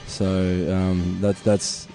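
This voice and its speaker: Male interview guest, Australian, low mellow voice, casual and reflective, thinking as he talks. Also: monotone, slow pace, vocal fry, faint music behind. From Adelaide, Australia. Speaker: interview guest, touring musician.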